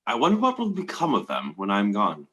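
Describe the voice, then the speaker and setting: A man's voice: on the low side, wildly swooping pitch, brisk, a bit bright. A man acting a menacing, gloating mad lord in a stage play reading, with theatrical, archaic, taunting speech and music behind him. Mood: brooding, possessive.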